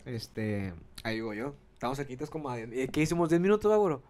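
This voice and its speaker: A man's voice: medium pitch, sing-song, quick pace, a little mellow. A man, hosting a podcast in casual, joking chat and laughing often, recorded close on a handheld mic in a small room. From Mexico. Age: 20s. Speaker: podcast host. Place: small room, seated on a couch. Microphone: handheld microphone.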